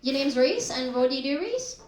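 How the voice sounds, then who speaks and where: Brash high-voiced woman doing crowd work. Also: big pitch swings, a little mellow. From India. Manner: teasing, brash, quick banter with audience. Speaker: comedy MC and host. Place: small comedy pub venue. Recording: roomy live pub gig. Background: laughing crowd.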